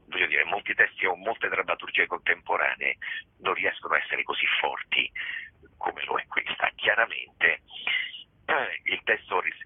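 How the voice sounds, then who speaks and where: A man, a theatre director and actor, explaining a play in a radio interview, pausing often to search for words, heard over a phone line. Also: sits low, breathy edge, no room sound. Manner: searching for words, frequent pauses and hedges.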